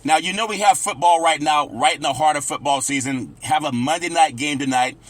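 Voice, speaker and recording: A man's voice: fairly high pitch, a little fast, fairly bright tone. A man hosting a sports talk show, asking a guest questions and giving frequent short affirmations. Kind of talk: radio interview.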